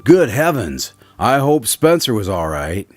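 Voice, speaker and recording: A man's voice: medium pitch, big pitch swings, measured pace, no echo, faint music behind. A man playing an eager, genial radio host in a scripted drama with credits. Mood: eager, exasperated. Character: eager, genial male radio host hearing Watson's tales. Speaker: announcer and listener host.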